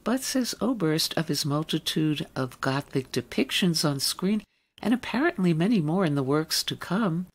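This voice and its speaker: A woman's voice: low voice, expressive, normal speed. A woman presenting a radio show from a script as its host.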